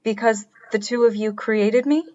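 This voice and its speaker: An earnest artificial female being from a radio drama, acted by a woman, speaking in a higher register. She is formal and literal, emotionally detached. The recording is slightly dull. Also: moderate pace, dark tone, close to an everyday voice. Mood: matter-of-fact, detached. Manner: formal, literal, uncontracted phrasing. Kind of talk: audio drama acting.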